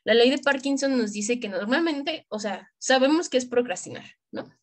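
A woman's voice: high voice, moderate pace. A young adult woman from Mexico with a Mexican accent, giving an informal tutorial in colloquial, slangy language, speaking straight to the listener. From Michoacán, Mexico. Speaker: tutorial presenter for medical students. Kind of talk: informal screen-share tutorial.

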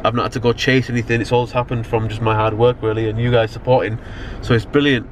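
A man's voice: medium pitch, level tone, fast. A young British man chatting casually to camera in a car, rambling and slangy, often checking the listener follows. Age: young adult. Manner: rambling, slangy, frequent "you know what I mean". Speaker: vlogger. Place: inside a car at night. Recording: car ambience, clear background noise. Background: car and outdoor urban noise.

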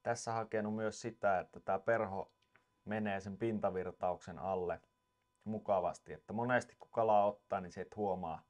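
Low-voiced man demonstrating fly tying. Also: steady tone. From Finland. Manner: plain, matter-of-fact instructing. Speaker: fly tier. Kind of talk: step-by-step demonstration. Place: indoors at a tying table. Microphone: lapel.

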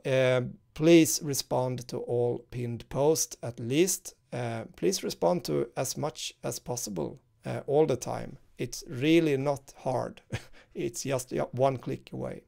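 A man's voice: medium pitch, vocal fry, warm tone. A man in his thirties with a Swedish accent, explaining a university course in a recorded screen-share walkthrough, talking informally with lots of fillers and restarts. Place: indoor room, screen-share webcam inset.

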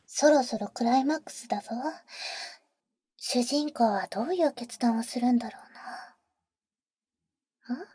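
A woman acts out a protective, teasing vampire big sister for an ASMR roleplay. Her whisper is breathy and mellow, very close and dry on the mic. She uses cool, boyish phrasing with an intimate feel. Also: a little high, moderate pace, pauses often, dry recording. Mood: affectionate, playful. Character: teasing, protective female vampire, older-sister type to a boy. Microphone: close microphone, dry.

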